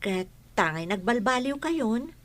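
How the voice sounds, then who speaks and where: An actress playing a humble, patient, forgiving young housemaid in a melodramatic radio-style drama. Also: female voice, fairly high pitch, sing-song, measured pace.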